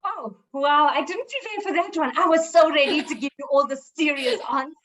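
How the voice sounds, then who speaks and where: A woman in her twenties from South Africa with a squeaky voice, speaking earnestly as a panelist in a panel discussion. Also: fairly quick, fairly bright tone. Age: 20s. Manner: earnest and encouraging, with self-deprecating humor.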